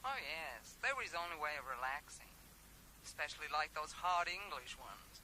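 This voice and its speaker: Bright-voiced, puzzled, frank woman. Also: sits low, animated, normal speed, slight background noise, rough recording. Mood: puzzled, candid. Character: frank, puzzled American woman, an old acquaintance. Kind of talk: radio drama acting.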